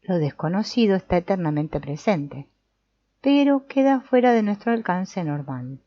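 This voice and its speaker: A woman voicing an old male sorcerer teacher, calm and didactic, speaking in a measured, explanatory way with the odd small restart. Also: lowish voice. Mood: explaining. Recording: dull, muffled audio.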